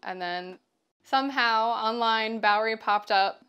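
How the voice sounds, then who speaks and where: A woman's voice: on the high side, measured pace, pushed and bright, clean tone. Young woman farmer chatting casually. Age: young adult. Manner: friendly, chatty, laughs easily. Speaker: vertical farmer. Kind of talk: casual interview talk. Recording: interview with background music.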